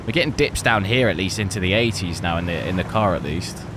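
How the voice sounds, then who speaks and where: Brief: hoarse man, lowish voice, rambling gameplay commentary, faint noise behind. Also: fast. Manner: relaxed, rambling, thinking aloud. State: hoarse, losing his voice. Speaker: PC gaming benchmark channel host.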